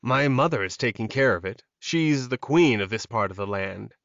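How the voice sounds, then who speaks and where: A man's voice: mid-range voice, animated, moderate pace, vocal fry, a bit bright, no room sound. Man playing a boastful young prince, dull recording. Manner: haughty, scoffing, boastful. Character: boastful young royal boy with magic. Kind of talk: audiobook character dialogue.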